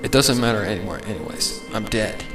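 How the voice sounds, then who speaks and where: A man voicing a bitter, rough-mannered, vengeful dead pegasus mare in a dark fanfiction reading. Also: lowish voice, fairly quick, echoey room, over music, natural voice. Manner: rough, defiant answers.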